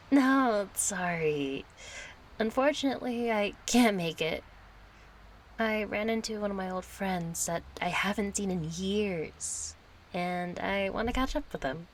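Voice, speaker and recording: An actress plays a kind young woman chatting with a close friend in an intimate audio roleplay. She talks slowly at a middling pitch, casual and playful with a hesitant, yearning softness. Noticeable ambient noise sits behind her voice. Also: lively intonation. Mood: casual, apologetic. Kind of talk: intimate audio roleplay acting, one side of a conversation.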